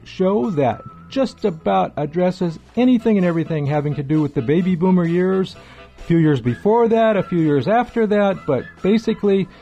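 A man's voice: high voice, moderate pace, mellow, soft background music. A middle-aged or older man hosting a retro radio talk show, speaking in a chatty, wordy, enthusiastic way with emphatic repetition. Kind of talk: enthusiastic conversational show introduction. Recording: dull, lo-fi sound.

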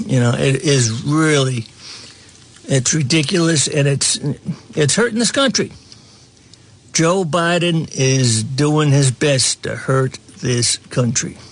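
A man hosting a talk show, delivering a rambling political commentary monologue with frequent restarts and chuckles. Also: a little high.

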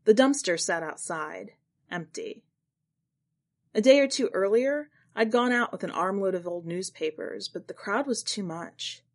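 A woman's voice: medium pitch, animated, a little slow. A woman narrating a first-person literary short story for a fiction podcast, in a scripted read-aloud style. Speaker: podcast fiction reader and editor.

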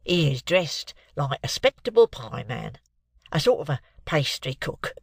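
A man voicing a slow-witted, nervous rough fellow in an audiobook, congested as if with a cold, hesitant. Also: on the high side, big pitch swings, no echo, studio quality, heavily acted. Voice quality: congested, thick with a head cold.

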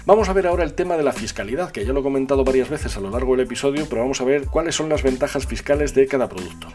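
A man's voice: mid-range voice, darkish tone. A man hosting a podcast, reading an explanatory script in a didactic way and speaking directly to the listener. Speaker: podcast host. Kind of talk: explanatory scripted narration for a personal finance podcast.

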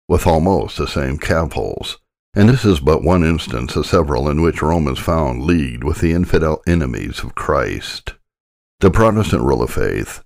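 A man reading a formal religious essay aloud as an audiobook narrator, in a measured, solemn way. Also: rumbling low, gravelly.

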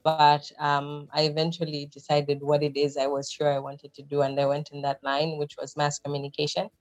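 A woman in her thirties from Zambia speaks as a podcast guest. Her voice is low and level, and she explains things thoughtfully with plenty of fillers. The recording is dry, with faint music underneath. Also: monotone, normal speed, no room sound. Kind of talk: conversational interview answers.

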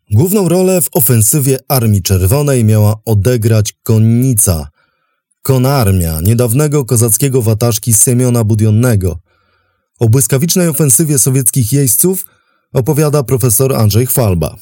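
Male history narrator, mellow, scripted documentary read, close dry sound. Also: mid-range voice, no room sound. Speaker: narrator and host of a history programme.

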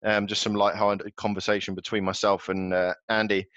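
Man, podcast host, low voice, rambling questions, dry dull lo-fi recording. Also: level tone, brisk, fairly bright tone, no room sound. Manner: loose, rambling questions with fillers. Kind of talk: casual interview chat.

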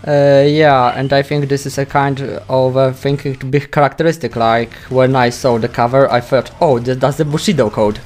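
A man with a Polish accent hosting a metal interview, native Polish, halting and searching for his English words. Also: mid-range voice, steady tone, darkish tone, faint music behind. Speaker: interview host.

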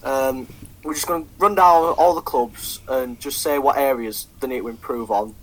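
A man's voice: mid-range voice, normal speed, fairly bright tone, a little hiss or hum, poor audio. Sweary rambling young man. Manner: sweary, rambling, lots of ums. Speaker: podcast co-host. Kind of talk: casual football chat.

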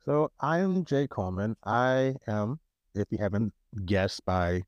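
Man, new york accent, low-pitched, relaxed podcast co-host, dry close mic. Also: unhurried, dry recording, lo-fi sound. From Queens, New York. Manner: relaxed, laughs often, restarts words. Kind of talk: casual chat.

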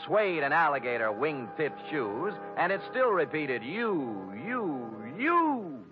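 A man's voice: a little high, very animated, slow. An actor playing a streetwise private detective in 1950s radio style, an American man with a wry, slangy, wisecracking manner. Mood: mock-dramatic. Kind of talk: 1950s radio detective acting, narration and dialogue. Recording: dull vintage radio recording, music behind.

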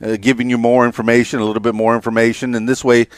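A man in his fifties hosting an interview, speaking haltingly with many repeated words and restarts. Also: medium pitch, level tone, dry recording, studio quality. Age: 50s. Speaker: podcast host. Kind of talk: interview conversation.

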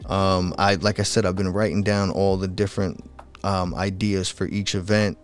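A man streaming from home at night, talking in a rambling, easygoing way with lots of fillers, his voice a bit raspy. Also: deep voice, little pitch movement, normal speed, faint music behind. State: slightly raspy late at night. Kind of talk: casual solo livestream talk.

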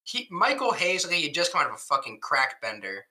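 Bright-voiced, laughing podcast guy. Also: fairly high pitch, a little fast. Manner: laughs often, swears freely, animated recapping. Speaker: podcast co-host. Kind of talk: casual chat.

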